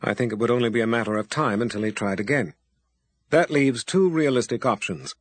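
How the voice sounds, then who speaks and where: A man's voice: mid-range voice, fairly quick, dry recording, close to an everyday voice. A man voicing a stern, powerful male wizard with firm, weighty speech. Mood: commanding.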